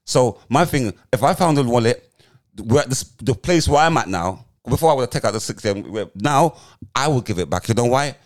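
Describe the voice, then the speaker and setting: A man's voice: mid-range voice, expressive, fairly quick. A man in his forties, co-hosting a podcast and musing earnestly in casual chat. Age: 40s. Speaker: podcast co-host, comedian.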